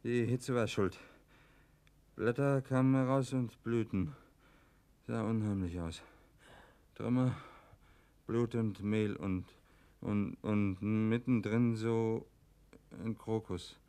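Blustering, world-weary drinker. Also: male voice, fairly low pitch, fairly even intonation, slow, pauses often, mellow, smooth. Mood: dazed, rambling. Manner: blustering, colloquial, drifting into rambling. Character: jovial yet bitter, broken alcoholic German husband, rambling. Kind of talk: German radio play acting.

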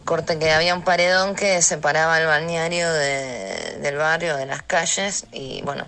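A woman's voice: low voice, normal speed, sharp tone. A woman with an Argentine accent telling a casual anecdote in a colloquial, meandering, self-mocking way. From Neuquén, Argentina. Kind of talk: casual personal anecdote in an audio message.

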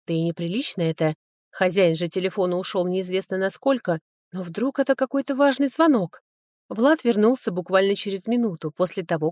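A woman's voice: lowish voice, darkish tone, no room sound. Woman reading an audiobook novel, first person story, very dry close recording. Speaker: audiobook narrator. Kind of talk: scripted first-person fiction narration with acted dialogue.

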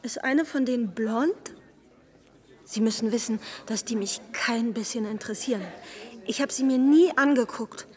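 A woman's voice: fairly high pitch, normal speed, lightly acted. An actress playing an evasive, airy young woman in a literary radio drama. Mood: feigned indifference. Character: evasive, coy young woman, the narrator's girlfriend. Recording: long hall-like reverb.

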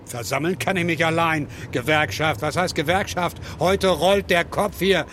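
An actor in a German radio play playing a cynical construction worker who talks in a jeering, mocking way. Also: male voice, fairly high pitch, moderate pace, fairly bright tone, slight background noise, natural voice.

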